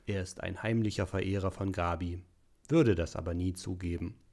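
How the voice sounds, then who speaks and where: A man narrating a parody audio play in a dry, deadpan storyteller style. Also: sits low, moderate pace, vocal fry.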